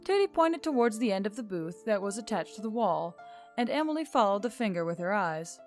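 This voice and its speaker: Woman narrating fiction over faint music. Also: mid-range voice. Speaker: story narrator. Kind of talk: expressive scripted fiction narration. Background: background music.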